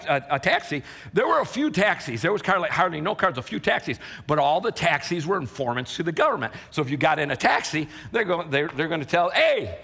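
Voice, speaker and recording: A middle-aged man preaching in a large church hall, with a long reverb trailing his words. His voice sits a bit high and bright. He tells his story in a sermon style that swings between loud, impassioned outbursts and quiet, hushed passages. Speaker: pastor.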